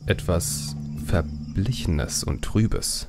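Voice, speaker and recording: A man narrates a fantasy audiobook in a dramatic, scripted style. His voice is deep and gravelly, and he reads very slowly. Music plays behind him. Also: animated.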